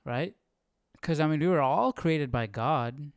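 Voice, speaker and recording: Smooth-voiced man musing aloud, close and dry. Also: a little high, a little slow, no echo. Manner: musing, questioning, hesitant. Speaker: livestream Bible study host. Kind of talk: casual, thinking-aloud chat.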